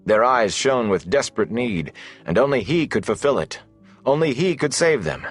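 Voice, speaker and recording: A man reading a fantasy audiobook as its narrator, in a measured, deliberate, dramatic storytelling delivery. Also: medium pitch, very animated, husky, faint music behind.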